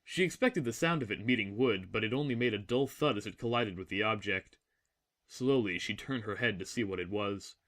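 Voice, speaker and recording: A man giving a scripted, solemn and measured narration of a dramatic story. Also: fairly low pitch, fairly bright tone.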